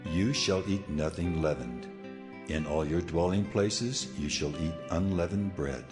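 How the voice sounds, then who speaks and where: A deep-voiced, commanding God in an audio scripture drama. The delivery is solemn and measured, with full authority. Music plays clearly behind the voice. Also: male voice. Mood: solemn instruction.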